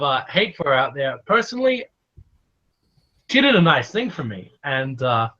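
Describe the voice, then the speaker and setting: A man's voice: on the high side, fairly bright tone. An Australian man with a slight American tinge to his accent, a guest talking casually on a stream, full of fillers and restarts. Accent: Australian, a bit of American. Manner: hesitant, many restarts and fillers. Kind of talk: casual online stream chat. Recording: dull lo-fi stream audio.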